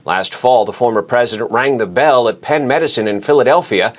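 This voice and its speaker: A man reads a news report in a measured, steady broadcast manner. His voice sits at an ordinary middle pitch. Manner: measured, even delivery. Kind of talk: scripted news narration.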